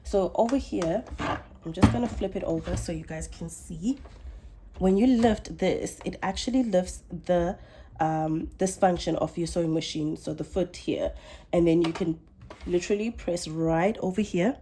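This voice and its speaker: A young woman talks through a casual tutorial in a lowish, mellow voice. She sounds a little under the weather and often stops to correct herself. Faint noise and clicks sit behind her. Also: a little slow. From South Africa. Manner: chatty, self-correcting explainer. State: slightly unwell, coming down with flu. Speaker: sewing channel host.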